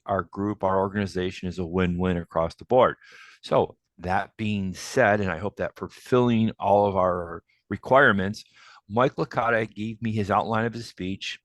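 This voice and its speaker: A man's voice: on the low side, fairly even intonation, moderate pace, no room sound. A man introducing the next speaker at a club meeting, plainspoken and enthusiastic, repeating phrases. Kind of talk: informal introduction remarks.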